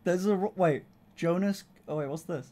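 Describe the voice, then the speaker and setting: A woman's voice: on the low side, moderate pace, warm tone. A young woman streaming from her bedroom, chatting casually, swearing and laughing often. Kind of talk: casual chat. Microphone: desk mic on arm.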